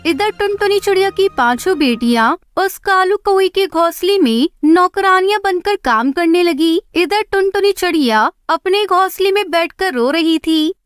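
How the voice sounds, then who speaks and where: A woman's voice: very high-pitched, animated, moderate pace, few pauses, no room sound, clean studio sound. A woman narrator reading a scripted story for children.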